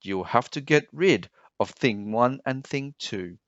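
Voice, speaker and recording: A man's voice: medium pitch, expressive, slow pace, a bit bright, no room sound, lo-fi sound. A man voicing a fretful, bossy pet fish from a children's storybook, giving urgent and insistent warnings. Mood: panicky urgent. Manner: urgent, repeating warnings emphatically. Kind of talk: children's storybook character reading.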